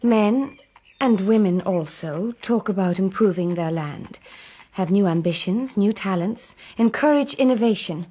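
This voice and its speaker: Woman acting gentle young lady, mid pitch, tender and thoughtful, period radio play. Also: a little slow, close to an everyday voice, poor audio. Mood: wistful.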